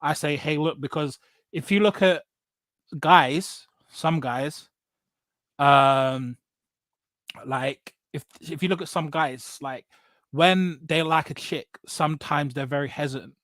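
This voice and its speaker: An English man with a fairly high voice talks casually about his own experiences. He is blunt and emphatic, doubles back on phrases and tags points with "right?" The sound is dry and close. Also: slow pace, a bit bright, dry recording. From England. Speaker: podcast guest. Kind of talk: casual personal storytelling in conversation.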